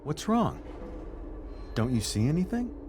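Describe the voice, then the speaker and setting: An actor playing a gentle, teasing middle-aged father in a naturalistic video game drama, with a playful, reassuring manner. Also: on the high side, very animated, unhurried, noisy background. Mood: mildly puzzled.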